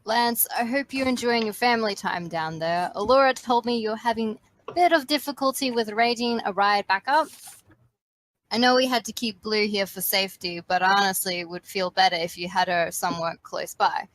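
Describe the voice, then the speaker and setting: A woman playing a responsible, fatherly young male leader, speaking in an earnest, measured way in an amateur fan fiction reading. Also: mid-range voice, a bit bright, slight background noise, natural voice. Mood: earnest concern.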